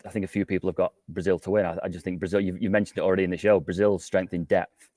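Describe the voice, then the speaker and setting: Male sports pundit, low voice, rapid delivery, conversational analysis with repeated words. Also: flat delivery, a little mellow. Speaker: football pundit.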